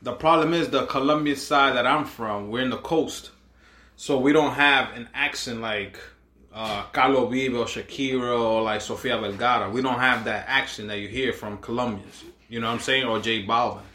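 Bright, animated man in a roomy echo. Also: medium pitch, normal speed. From Washington Heights, New York. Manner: animated, joking, laughs often. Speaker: podcast co-host. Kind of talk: casual chat over drinks.

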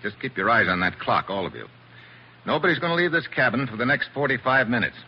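An actor playing a tough, wry American special agent in a terse 1940s radio drama style. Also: male voice, medium pitch, big pitch swings, fast. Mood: cool accusing. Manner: hard-boiled, terse, wry. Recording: muffled, hissy 1940s radio recording.

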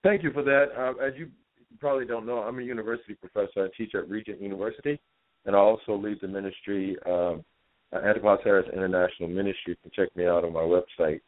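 Deep-voiced man on a dull phone line. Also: steady tone, fast. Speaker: caller, university professor. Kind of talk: earnest live call-in discussion. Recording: dull narrow-band phone call.

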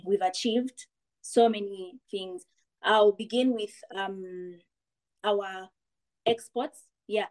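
A woman's voice: mid-range voice, darkish tone, rough recording. A young woman from Zambia, a guest on a podcast, talking in long thoughtful explanations. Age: young adult. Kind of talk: casual podcast chat.

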